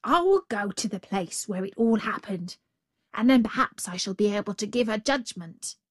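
A woman's voice: mid-range voice, animated, moderate pace, no room sound, clean studio sound, lightly acted. A woman voicing a male storybook jackal who plays dim, fretful and hesitant, with an obsequious manner. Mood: sad bewilderment. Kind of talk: storybook character voice.

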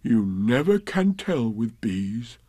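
Guy doing a slow-witted honey loving bear from a kids storybook, earnest, draws his words out, musing. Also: mid-range voice, sing-song, mellow, breathy edge, no echo, exaggerated voice. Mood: earnest, scheming. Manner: ponderous, drawn-out, musing.

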